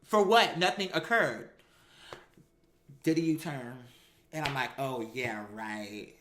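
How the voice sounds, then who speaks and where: A woman telling a personal story in an animated, sassy, emphatic way, with slangy, profane language and acted-out dialogue. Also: a bass voice, slow pace, reverberant, noisy background. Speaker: storyteller recounting a personal experience.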